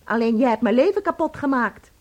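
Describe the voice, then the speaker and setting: An actress in a Dutch radio play playing a jealous, wounded and possessive wife, dramatic and commanding. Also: female voice, on the high side, lively intonation, a little fast, lo-fi sound. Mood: angry, commanding. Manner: dramatic, commanding, emotionally volatile. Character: jealous, wounded wife fighting possessively over her tiny husband.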